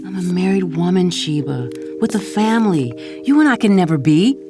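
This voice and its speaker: A woman's voice: on the low side, expressive, normal speed, natural voice. A woman playing a guarded, exasperated middle-aged mother in an audio drama, firm and emphatic. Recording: music under the voice.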